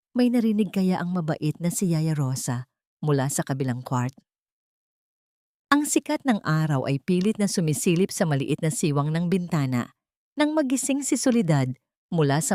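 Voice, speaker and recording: A woman's voice: deep voice, big pitch swings, normal speed, warm tone, no echo, studio quality. A woman narrator reading a dramatic scripted audio story.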